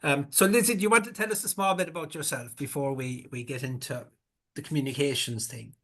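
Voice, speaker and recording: A man hosting a parish workshop, talking in a measured way and repeating words as he searches for them. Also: a little high, a bit bright. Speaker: workshop host.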